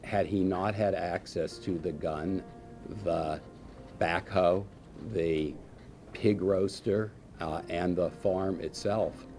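Deep, slow-speaking older man. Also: steady tone, darkish tone, soft background music. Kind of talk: press conference statement.

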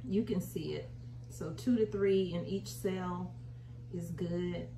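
A woman's voice: fairly low pitch, steady tone, slow pace, vocal fry, dark tone. American woman in a roomy, distant recording. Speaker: home gardening video host. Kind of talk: casual how-to demonstration. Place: small indoor room at home. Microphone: camera a short distance away. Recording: roomy, distant mic, faint noise.

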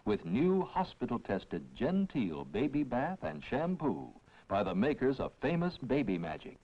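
A man reading a scripted 1960s TV commercial voice-over in a measured, reassuring way, on a vintage soundtrack. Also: mid-range voice, sing-song. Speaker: commercial announcer. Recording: dull vintage TV film soundtrack.

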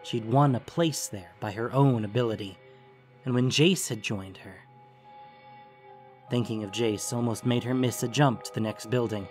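A man's voice: medium pitch, moderate pace, soft background music. A man narrating a scripted fantasy story as its narrator.

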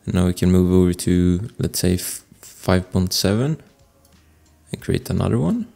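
A man presenting a casual software tutorial as a voice-over, explaining informally step by step into a close microphone. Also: sits low, lively intonation, normal speed, vocal fry. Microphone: close desktop microphone voice-over. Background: music.

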